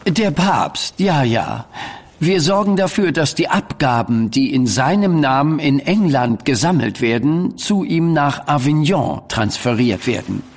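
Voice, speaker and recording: An actor playing a greedy, impatient elder merchant banker in an audio drama, condescending and formal. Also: male voice, a little high, expressive, measured pace. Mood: haughty, businesslike. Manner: condescending, formal archaic diction. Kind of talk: German audio drama acting.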